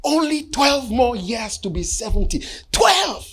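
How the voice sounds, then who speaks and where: A man, preaching a sermon and telling a personal testimony in an earnest storytelling way that often sinks to hushed tones. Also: very high voice, big pitch swings, measured pace, husky. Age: 50s. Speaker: bishop.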